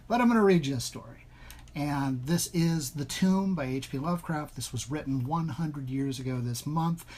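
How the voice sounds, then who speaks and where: A man's voice: fairly high pitch, moderate pace, a little mellow. A man chatting informally as the host of an online reading, enthusiastic and repeating himself. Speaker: host reading a story aloud to an online audience. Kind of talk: casual chat.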